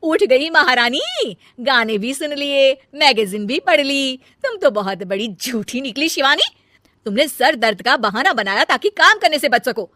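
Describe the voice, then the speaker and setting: Woman acting a high-pitched, dutiful elder daughter-in-law. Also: sing-song, unhurried, sharp tone, no room sound, studio quality, full character voice. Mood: scolding. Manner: earnest, emphatic, scolding when annoyed. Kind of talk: acted Hindi family comedy story.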